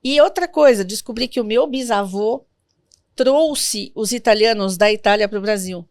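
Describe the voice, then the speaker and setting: A Brazilian woman in her fifties, a guest in an interview, telling family stories in a reflective, gentle way. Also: mid-range voice, moderate pace, dry recording, studio quality. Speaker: guest, sworn translator. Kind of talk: interview conversation.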